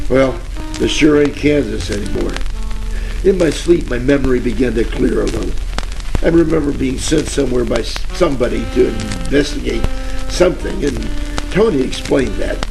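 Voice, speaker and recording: An actor playing a befuddled, wisecracking hard-boiled detective in a parody of forties radio drama, with folksy slang and absurd similes. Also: male voice, mid-range voice, vocal fry. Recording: old-radio crackle, music and rain behind.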